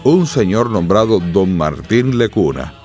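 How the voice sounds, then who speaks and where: Deep, expressive male radio narrator. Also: measured pace, faint music behind. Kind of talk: solemn scripted radio drama narration.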